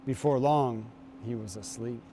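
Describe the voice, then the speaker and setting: Guy doing storytime for kids, takes his time, soft mellow voice, bit of background noise. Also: mid-range voice. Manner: unhurried storytelling with pauses. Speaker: guest reader, basketball coach. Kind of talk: reading a picture book aloud to children.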